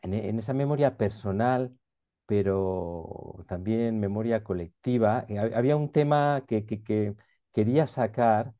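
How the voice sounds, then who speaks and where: Dark, halting man on a muffled call. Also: lowish voice. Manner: halting, many restarts and fillers. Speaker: interviewer. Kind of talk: interview over video call. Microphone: video call. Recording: dull muffled video call audio.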